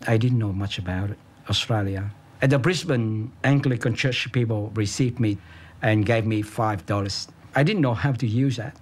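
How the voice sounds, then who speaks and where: Middle-aged man from Vietnam, halting. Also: fairly low pitch. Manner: simple short sentences, halting. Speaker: interviewee, refugee. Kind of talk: documentary interview. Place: office room, indoors.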